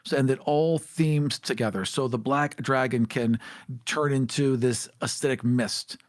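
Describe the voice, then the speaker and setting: A man's voice: mid-range voice, steady tone, measured pace, no room sound, studio quality. A man hosting a casual podcast chat about tabletop games, talking in an earnest, emphatic way.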